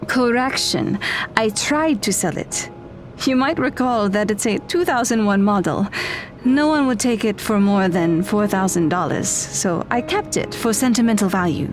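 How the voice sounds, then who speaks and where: A woman's voice: mid-range voice, lively intonation, moderate pace, soft background music, close to an everyday voice. A woman playing a kind, upbeat middle-aged mother in an audio drama. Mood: chatty. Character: kind, upbeat widowed mother of a teenage daughter.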